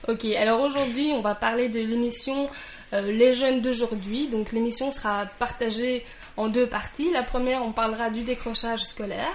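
A woman's voice: on the high side, steady tone, normal speed. Woman hosting in an echoey room. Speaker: radio show host. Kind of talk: hosting a youth discussion show. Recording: roomy echo, faint background noise.

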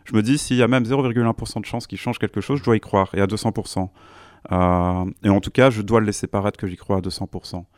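A man's voice: on the low side, steady tone, fast, darkish tone, dry recording, clean studio sound. Earnest man in his thirties. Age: 30s. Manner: earnest, thoughtful, with frequent hesitations and restarts. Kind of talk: radio panel discussion.

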